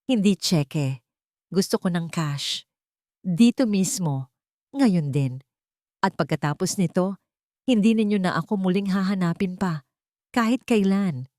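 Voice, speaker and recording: Woman narrator as wronged wife, Filipino accent, proud, resolute, deliberate emphatic phrasing, lowish pitch, audio romance drama. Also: a little mellow, no echo, studio quality, natural voice. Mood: cold, defiant.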